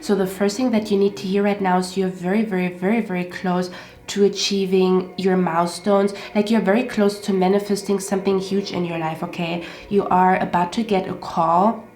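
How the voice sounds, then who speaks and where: A woman's voice: mid-range voice, flat delivery, moderate pace, a little mellow. A woman with a stuffy, congested nose giving a casual tarot card reading, repeating herself and often checking in with "okay?". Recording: roomy echo with faint music.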